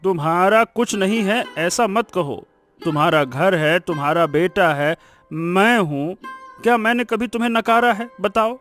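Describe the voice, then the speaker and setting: A man voicing a weary, exasperated village farmer husband in an animated story, pleading in a melodramatic way. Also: sits high, measured pace, soft background music. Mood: placating.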